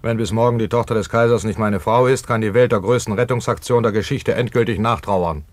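An actor playing a self-important trouser manufacturer in a radio play, blustering in a businesslike way. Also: male voice, on the low side, brisk, dry recording, lightly acted. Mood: indignant, blustering.

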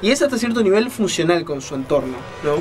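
A man's voice: high voice, sing-song, fast. A man hosting a radio show, giving conversational, explanatory commentary on books and films and often checking in with little question tags. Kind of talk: conversational book and film commentary.

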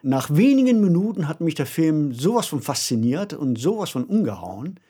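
A man's voice: fairly high pitch, sing-song, moderate pace, warm tone, slightly rough. A man co-hosting a podcast, speaking in a conversational discussion style. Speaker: podcast co-host. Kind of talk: conversational discussion of science fiction.

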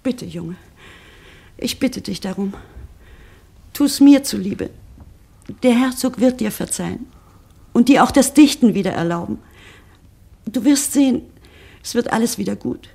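An anxious middle-aged mother pleads with her son in a radio drama. Her voice sits fairly high and sounds husky. She frets and begs throughout. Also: expressive, unhurried, pauses often, dark tone, heavily acted. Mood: pleading. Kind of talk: 1950s-style radio drama acting.

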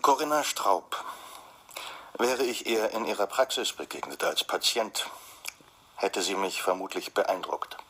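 A man's voice: fairly low pitch, lively intonation, measured pace, fairly bright tone, slightly rough, exaggerated voice. An actor playing a dry, sardonic male defence lawyer in a radio crime drama. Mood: reflective, wry. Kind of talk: German radio drama, narrating and dialogue.

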